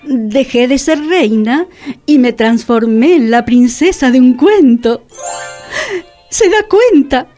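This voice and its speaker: A woman's voice: high-pitched, lively intonation, slow, warm tone, soft background music. An Argentine woman acting an inquisitive, teasing and vain former queen in radio theatre. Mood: teasing, dreamy. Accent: Argentine, Rioplatense.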